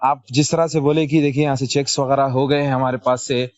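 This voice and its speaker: A man's voice: mid-range voice, flat delivery, brisk, no room sound. A man, politely and deferentially thanking and making requests on a phone call. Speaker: community representative caller. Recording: dry lo-fi phone call recording.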